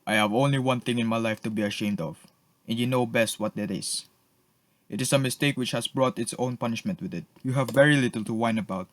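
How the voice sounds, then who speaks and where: A man's voice: mid-range voice, no echo, natural voice. A man playing a cold, suspicious lord and husband in an amateur radio drama, haughty, sarcastic and scolding.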